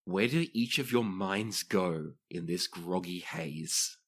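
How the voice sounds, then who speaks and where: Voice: deep voice, slow pace, breathy edge. A game master narrating a tabletop roleplaying podcast, switching between dramatic storytelling and dry joking.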